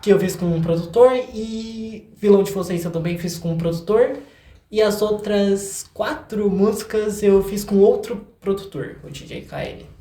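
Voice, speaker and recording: A man's voice: sits high, fairly even intonation, moderate pace, warm tone. Young brazilian guy chatting away in an interview, effusive, roomy echo. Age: young adult. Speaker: singer-songwriter guest. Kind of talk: casual interview chat. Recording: echoey room.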